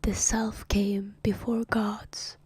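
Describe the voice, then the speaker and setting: A woman voicing a solemn ancient male cosmic being in a hushed whisper, reading a comic aloud on a stream. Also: medium pitch, level tone, slow pace, husky, no echo. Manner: hushed whisper, formal speech about itself.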